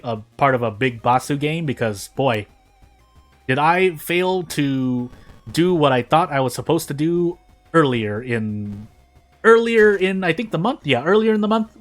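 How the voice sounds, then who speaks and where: A man doing casual gaming video narration and commentary in a rambling, self-deprecating way. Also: mid-range voice. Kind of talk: casual gameplay commentary and fanfic reading.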